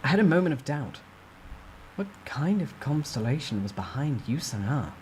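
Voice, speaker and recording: A man's voice: mid-range voice, big pitch swings, normal speed, a little mellow, a little hiss or hum, low-quality recording. A man reading a fantasy novel aloud as a dramatic, suspenseful first-person narrator. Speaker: amateur story narrator.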